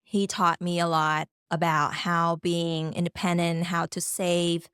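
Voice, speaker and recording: A woman's voice: on the low side, level tone, measured pace, a bit bright, clean tone, no room sound, clean studio sound. A young woman from Vietnam, a Vietnamese speaker, chatting as a podcast guest in an easygoing way with many fillers. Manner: easygoing, laughing, lots of fillers. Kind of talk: casual podcast chat.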